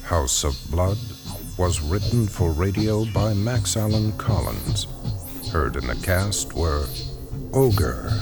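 A male announcer reads scripted radio links and credits. His voice is fairly low and his delivery slow, riding over loud music.

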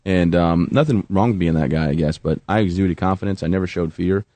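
A man's voice: low-pitched, brisk, mellow, no room sound. A man in his thirties, a veteran guest telling his story with self-mocking humor. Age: 30s. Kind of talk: joking then earnest interview talk.

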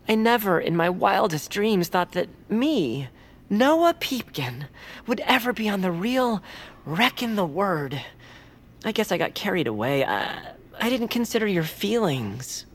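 Eager, animated young pal. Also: female voice, mid-range voice, measured pace. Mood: excited, apologetic. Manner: gushing, earnest, wholesome old-fashioned phrasing. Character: eager, chirpy, over-excited young pal Noah, radio-show fan. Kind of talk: audio comedy drama acting.

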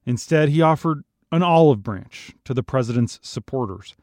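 An American man narrates scripted news as a podcast host. His voice sits a little high and is recorded very dry, with no room sound around it. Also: expressive, moderate pace, darkish tone, no room sound.

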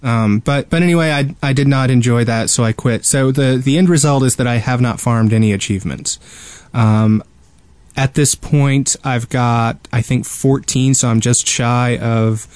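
A man co-hosts a podcast in loose, casual talk. His voice is medium in pitch and wryly joking, recorded very dry. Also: moderate pace, a little mellow, no room sound. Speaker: podcast co-host. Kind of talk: casual chat.

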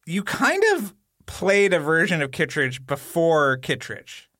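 A man's voice: fairly high pitch, very animated, slow pace, pushed and bright, no echo. Male podcast co-host, casual chatty delivery, dry recording.

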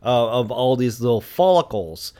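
A man speaks in a medium-pitched voice as a podcast co-host. He reviews films casually, thinking aloud in a reflective, conversational manner. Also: sing-song, moderate pace, warm tone. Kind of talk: casual film review chat.

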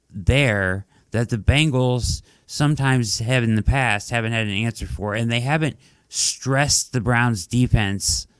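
Sports podcast host, male, lowish voice, a bit hoarse from being under the weather, loose and chatty, dry close-mic sound. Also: no room sound. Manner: conversational, fillers like "like" and "right?". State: losing his voice, dry throat. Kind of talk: casual sports analysis podcast.

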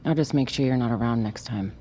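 Very deep, dark-voiced, guarded woman. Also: fast, a little hiss or hum. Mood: bitter, resentful. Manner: blunt, dry, confrontational. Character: bitter, guarded, sardonic woman trapped underground. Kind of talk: naturalistic audio drama acting.

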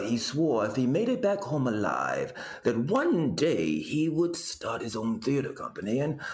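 Actor as a slow, deep-voiced deadpan storyteller man. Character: rambling deadpan storyteller man recounting absurd family history. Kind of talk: comedic audio drama monologue.

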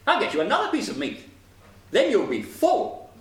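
A man's voice: sits high, wildly swooping pitch, moderate pace, voice pushed up. A man voicing a small, feisty male sparrow in an insistent, exclamatory piping voice for a storybook tale. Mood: eager, helpful. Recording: roomy, distant mic, faint noise.

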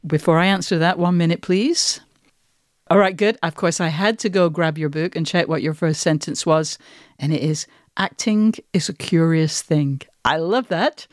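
A woman's voice: on the low side, moderate pace, no room sound, studio quality. A woman hosting a podcast, giving thoughtful conversational commentary in articulate, considered phrases. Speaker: podcast host and writer.

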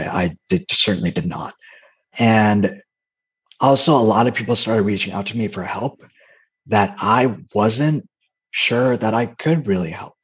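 A soft-spoken man answers interview questions in a reflective way, often stopping and restarting his thoughts. His voice sits fairly low and has a husky, breathy grain. The recording sounds dull and lo-fi. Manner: hesitant, with frequent restarts. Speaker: guest, dating coach and comedian.

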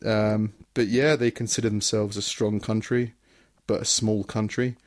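A man's voice: fairly low pitch, little pitch movement, moderate pace, darkish tone. A man with an English accent in casual banter as a co-host, joking, giggly and prone to swearing. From England. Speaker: co-host of a chat show.

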